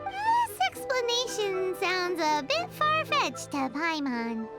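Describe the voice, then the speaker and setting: Game role: tiny, nosy fairy companion; very high, bouncy pitch. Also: female voice, wildly swooping pitch, slow pace, full character voice. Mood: quizzical. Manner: refers to herself in the third person, quizzical. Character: tiny floating female fairy companion, chatty and excitable. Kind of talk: video game voice acting. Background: game background music.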